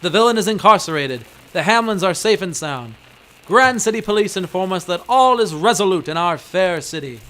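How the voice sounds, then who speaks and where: An actor playing a grandiose 1920s radio announcer, reading scripted narration. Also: male voice, high voice, animated, normal speed, fairly bright tone. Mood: grandiose, upbeat.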